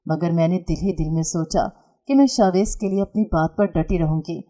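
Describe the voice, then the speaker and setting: A woman narrating a first-person dramatic story in a measured, emotional storytelling manner. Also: on the low side, dark tone.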